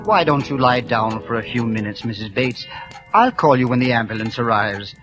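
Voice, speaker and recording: An actor playing a polite, mild old gentleman lodger in a vintage radio drama, speaking in a courteous, gently fussy way. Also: medium pitch, moderate pace, over music, natural voice. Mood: apologetic. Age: older. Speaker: elderly lodger. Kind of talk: 1940s radio acting. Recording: hissy old radio broadcast.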